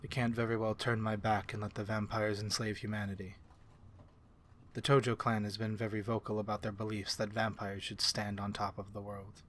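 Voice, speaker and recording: A man voicing a calm, courteous vampire in a soft-spoken ASMR fantasy roleplay, with measured, formal and gently reassuring speech. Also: on the low side, a little hiss or hum, close to an everyday voice. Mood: grave, reflective. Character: calm, courteous male vampire ally to humans.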